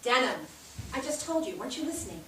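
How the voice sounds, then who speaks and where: An actress in a staged reading playing a bubbly, bossy 1860s society wife, gushing and insistent in a theatrical way. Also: female voice, sits high, sing-song, fast, sharp tone, full character voice. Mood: playful, insistent. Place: theatre stage, recorded from a distance. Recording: echoey stage, distant mic, faint noise.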